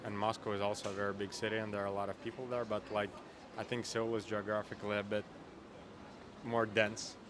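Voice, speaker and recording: A man's voice: on the low side, flat delivery, fast talker, a crowd in the background. A man from Russia being interviewed on a busy street, hesitant and repeating words as he thinks. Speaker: tourist, doctor. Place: outdoors on a busy city street.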